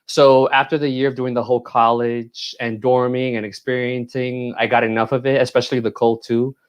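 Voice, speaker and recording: A man's voice: mid-range voice, level tone, smooth. A young man from New York, born in Puerto Rico, chatting playfully and campily as an interview guest on an earpiece mic. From born in Puerto Rico, raised in New York. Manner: playful and campy, quick to laugh. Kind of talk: casual interview chat.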